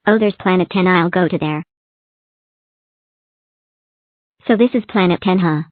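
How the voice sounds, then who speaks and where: A woman's voice: lowish voice, fast talker, no room sound, close to an everyday voice. A woman voicing a bubbly little talking number block in a fan-made cartoon, chirpy and sing-song. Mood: excited. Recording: tinny phone-like dry recording.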